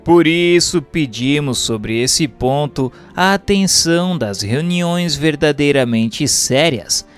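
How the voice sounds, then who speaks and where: A Brazilian man narrates from a written text in a formal audiobook style. His voice sits a little high for a man, and he reads very slowly and with measured care. Music plays behind him. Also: animated. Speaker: narrator reading a spiritist book aloud.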